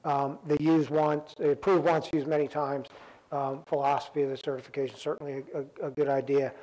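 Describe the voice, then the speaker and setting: Male panel moderator, flat even delivery, lots of ums and restarts, conference room mic. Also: a little high, rough recording. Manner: halting, many ums and restarts.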